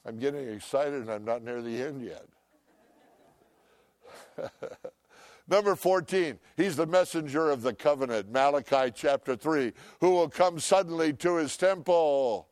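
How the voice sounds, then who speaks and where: An older man preaching a sermon, loud and emphatic, swinging between shouts and hushed asides. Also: a little high, expressive, measured pace, no echo, clean studio sound. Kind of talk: impassioned sermon.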